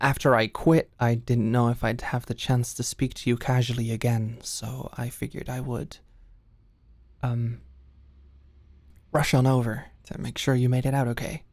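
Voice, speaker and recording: A woman's voice: very deep voice, fairly even intonation, measured pace, long pauses, a little mellow, no echo, exaggerated voice. A woman playing a flustered, lovestruck office ex-employee, hesitant and rambling, in a scripted ASMR audio roleplay. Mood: flustered, eager.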